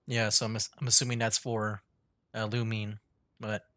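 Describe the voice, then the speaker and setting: A streamer who jokes back at the characters in casual, wry commentary over a game. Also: male voice, fairly low pitch, flat delivery, moderate pace, a bit bright, no room sound. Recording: game music faint behind.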